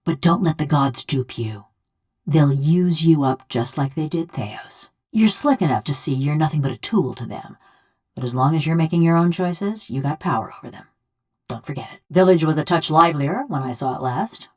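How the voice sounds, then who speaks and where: Game role: bronze construct with a village woman's soul. Low female voice, folksy rustic drawl, wry and bent on revenge. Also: expressive, moderate pace, a little mellow, husky. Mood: wry, sardonic. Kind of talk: video game character dialogue.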